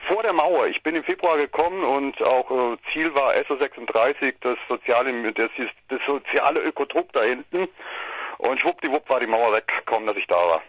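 A man's voice: mid-range voice, normal speed, dry recording. Middle-aged guy on the phone, rambly, lots of restarts, muffled dry sound. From Bavaria/Swabia, Germany. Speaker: musician guest. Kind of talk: phone interview, casual storytelling. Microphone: phone line.

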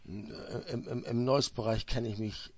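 A man hosting a radio show who chats casually and drops in quick remarks. His voice is slightly husky. The sound is dull and dry, with little treble and no room echo. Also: mid-range voice, steady tone, a little mellow. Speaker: radio host. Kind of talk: radio interview.

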